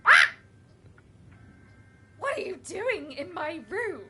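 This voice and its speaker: A woman as a shrill, snappish cartoon lady. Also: big pitch swings, takes it slowly, pushed and bright, soft background music, heavily acted. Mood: affronted. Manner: curt, scolding. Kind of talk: cartoon video game voice acting.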